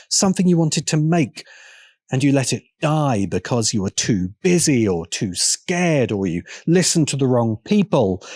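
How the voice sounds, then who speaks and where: A man narrating a scripted video essay in a deliberate, emphatic manner with pointed pauses. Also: mid-range voice, a little mellow, no room sound, clean studio sound.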